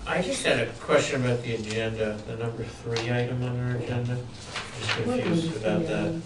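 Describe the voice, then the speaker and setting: A man on a town board asks about the agenda and trades jokes in a relaxed way. He is caught from across the room, with echo and steady hiss around his voice. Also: mid-range voice, normal speed. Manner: relaxed, joking, asks questions. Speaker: board member. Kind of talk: casual meeting chat. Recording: echoey, distant, noisy public-access broadcast.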